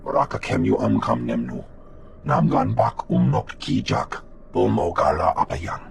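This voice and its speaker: A guttural game alien, speaking an invented tongue in a very creaky, gravelly voice. The pitch is low and swoops widely, with clear noise behind it. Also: male voice, big pitch swings, normal speed, exaggerated voice. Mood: matter-of-fact.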